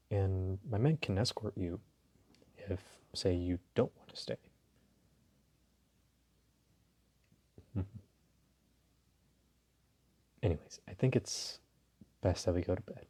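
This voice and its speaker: Man acting a deep, husky, flirtatious mafia boss. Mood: seductive, amused. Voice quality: husky, low. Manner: slow, teasing, courteous, softly chuckling. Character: suave dominant flirtatious male mafia boss. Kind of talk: intimate audio roleplay addressed to the listener.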